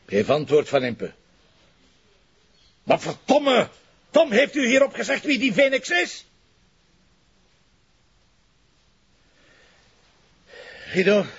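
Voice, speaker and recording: An actor playing a gruff, sardonic Flemish police commissioner in a television drama, with a gravelly, commanding delivery. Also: male voice, fairly high pitch. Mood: angry, commanding. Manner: sarcastic, commanding, swearing. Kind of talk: naturalistic television drama acting. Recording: dull, lo-fi TV audio.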